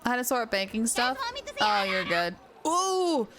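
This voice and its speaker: A woman hosting a livestream, chatting casually and reacting out loud in an animated way. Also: on the high side, a little slow, noisy background. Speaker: livestream host. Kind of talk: casual gaming stream chat. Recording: game music behind the voice. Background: music.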